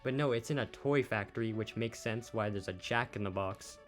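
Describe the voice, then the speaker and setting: A man's voice: lowish voice, normal speed. Casual man over background music. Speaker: YouTube host giving gaming news. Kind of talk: casual news summary commentary over images. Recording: music behind the voice.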